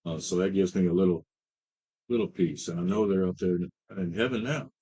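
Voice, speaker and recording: Deep husky man in his fifties. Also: warm tone. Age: 50s. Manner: halting, many fillers and restarts. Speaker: client in recovery. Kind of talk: personal recovery story. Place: treatment center.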